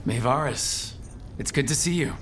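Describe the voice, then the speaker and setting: An actor playing an earnest, caring young fantasy hero in a video game, speaking conversationally. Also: male voice, medium pitch, normal speed, slight background noise, natural voice. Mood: warm, concerned. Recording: loud game music behind.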